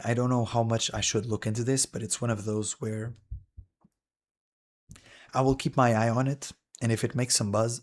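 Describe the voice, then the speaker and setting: A male streamer talking casually and wryly while browsing a list, rambling with frequent "dude" and "man". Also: mid-range voice.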